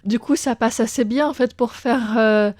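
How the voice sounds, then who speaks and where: A woman hosting a radio interview, introducing her guest and asking clear, measured questions. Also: a little high, fairly even intonation, a little mellow, no room sound. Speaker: radio host.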